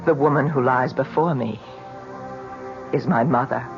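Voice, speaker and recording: A haunted, brooding son tells his tale in an old radio drama. He speaks slowly and deliberately in literary phrases, over clear music on a dull recording. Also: medium pitch. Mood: grim, haunted. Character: haunted grown son confessing a killing. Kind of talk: 1970s radio drama monologue.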